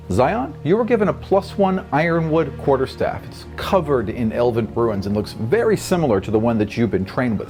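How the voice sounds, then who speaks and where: A man's voice: medium pitch, darkish tone. A man working as game master, narrating a tabletop fantasy adventure to his players.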